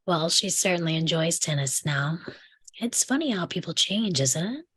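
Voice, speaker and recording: Actress as a sugary rival socialite, breathy, lowish voice, needling politely through fake cheer, screenplay read. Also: female voice, lively intonation, moderate pace, a bit bright. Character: falsely cheerful, sweetly competitive socialite stepmother.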